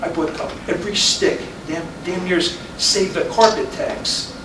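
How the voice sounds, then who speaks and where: Stage drama: regretful husband, fifties, acted by a man, slightly high voice, brooding and halting, roomy echo. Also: steady tone. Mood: troubled, reflective. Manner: halting, repeating words, brooding. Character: weary middle-aged husband, fifty-five, regretful and stubborn. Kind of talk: staged reading of a stage play. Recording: echoey room from far off, noisy.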